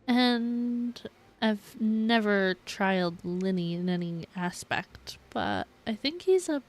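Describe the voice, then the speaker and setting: A woman streaming a game with casual, chatty commentary in a giggly, rambling way. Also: on the high side.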